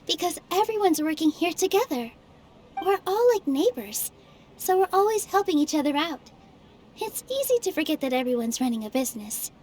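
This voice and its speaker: A bright-voiced cheerful dancer. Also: female voice, extremely high pitch, sing-song, moderate pace. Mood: gentle. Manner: warm, reassuring. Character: kind, cheerful young female dancer. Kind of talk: video game voice acting. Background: game music.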